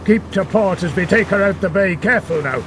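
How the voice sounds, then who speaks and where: An actor playing a gruff, impatient middle-aged ship's captain in a radio adventure, gravelly and brusque. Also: male voice, high voice, brisk, close to an everyday voice. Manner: brusque, barking, scornful. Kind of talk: 1930s-style radio adventure acting. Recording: noisy sea and boat engine ambience.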